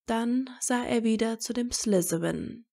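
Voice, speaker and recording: A woman narrates a scripted story at an unhurried pace. Her voice is mellow and mid-pitched, with noticeable fry.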